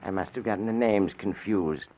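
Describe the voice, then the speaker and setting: An actor playing a polite, dryly insistent undertaker in an old-time radio mystery. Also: male voice, fairly low pitch, normal speed, slight background noise, close to an everyday voice. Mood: puzzled, formal. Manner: formal, polite, dry. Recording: tinny vintage radio recording.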